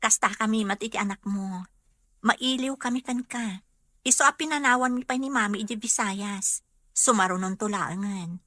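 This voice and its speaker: A woman's voice: medium pitch, normal speed, pushed and bright, no room sound, close to an everyday voice. Woman playing a watchful wife, dry close sound. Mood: affectionate. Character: watchful, questioning wife and mother. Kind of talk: Ilocano radio drama acting.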